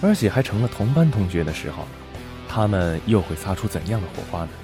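A man's voice: low voice, big pitch swings, a little fast, over music. A man hosting an audio drama programme, introducing the story in a playful, teasing way. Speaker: podcast host.

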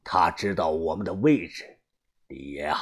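A man voicing a calm, cold, shrewd old gang boss in an audiobook, speaking in a grave, deliberate hush. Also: low-pitched, breathy edge, pitched below the performer's own voice. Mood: grave, certain.

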